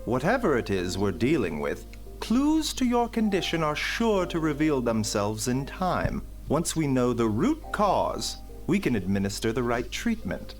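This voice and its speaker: A man voicing a gentle, thoughtful physician in a video game, measured and articulate, with music behind him. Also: medium pitch, wildly swooping pitch. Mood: grave, reassuring. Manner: measured, articulate, drawn-out musing.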